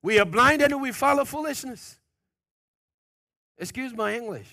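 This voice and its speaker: A man preaching a sermon, pressing his points with questions to the congregation, loud at the peaks and dropping low and quiet at phrase ends. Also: lively intonation, a little slow, no echo, studio quality. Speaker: pastor.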